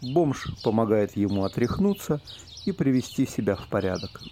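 Male narrator for a radio play, medium pitch, dark mellow tone, scripted scene-setting. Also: sing-song, moderate pace, a little hiss or hum. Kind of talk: scripted stage-direction narration of an audio play.

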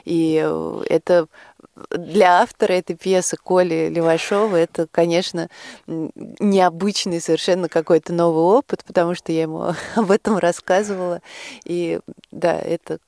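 A woman's voice: fairly low pitch, fairly even intonation, normal speed, gravelly, a little mellow, dry recording, clean studio sound. A woman speaking as a guest in a conversational radio interview, thoughtful and hesitant, often searching for her words. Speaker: interview guest, project participant.

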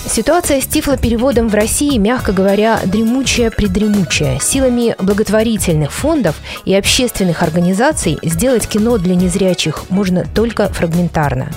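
A woman's voice: mid-range voice, animated, a little fast, hardly any pauses, darkish tone, faint music behind. A woman reporter narrating a scripted news report with pointed, wry commentary. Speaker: radio reporter.

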